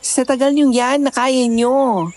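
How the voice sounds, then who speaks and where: A woman's voice: high-pitched, fairly even intonation, measured pace, a little mellow, soft background music. A woman with a Filipino accent playing a wary, superstitious young hiker in a conversational audio drama. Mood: wary, chatty.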